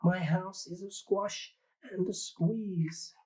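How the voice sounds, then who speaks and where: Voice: mid-range voice, fairly even intonation, slow, a little mellow, husky, voice pushed up. A reader voicing a fretful little old woman who keeps begging for help with her crowded house, in a pleading, sing-song storybook manner.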